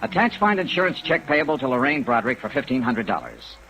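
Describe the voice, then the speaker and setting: An actor with an American accent playing a hard-boiled yet kindly insurance investigator in a 1950s radio detective drama, clipped and wry. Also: male voice, mid-range voice, fast, rough recording. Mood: wry, brisk. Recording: old 1950s radio recording, rain noise. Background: steady rain sounds.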